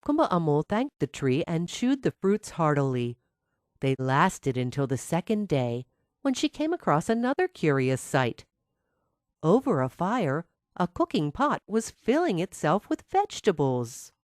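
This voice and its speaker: Female storyteller for children's fairy tales: low register, very animated intonation, dry close sound. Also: measured pace, no room sound. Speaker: storyteller, narrator. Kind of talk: scripted children's fairy tale narration.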